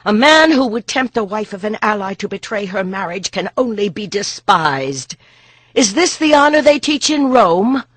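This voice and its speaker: A reader voicing a proud young royal woman who speaks with indignant, forceful conviction. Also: mid-range voice, wildly swooping pitch, measured pace, breathy edge, no echo, pitched above the performer's own voice, heavily acted.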